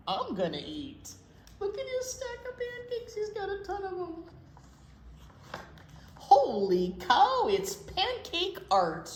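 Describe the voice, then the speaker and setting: Animated woman reading to kids, swooping pitch. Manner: expressive, drawn-out delivery with playful asides. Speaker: children's storytime teacher. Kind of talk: animated read-aloud to small children. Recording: roomy echo, faint noise.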